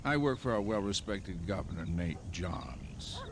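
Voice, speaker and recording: An actor playing an oily crooked political fixer in a Western video game, speaking in florid, theatrical, pompous phrases. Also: male voice, sits low, very animated, unhurried, noisy background. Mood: slick, pompous. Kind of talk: video game cutscene acting.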